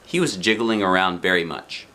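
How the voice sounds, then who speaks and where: A man reading a children's storybook aloud in an expressive narrating style with short, simple phrases. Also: sits low, normal speed. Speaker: storybook reader.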